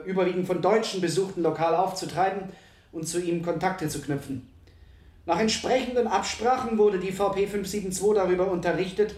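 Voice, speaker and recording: An actor as a detective reading dry testimony. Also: male voice, high-pitched, darkish tone, echoey room, natural voice. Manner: official, bureaucratic reading. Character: police detective reading his court statement.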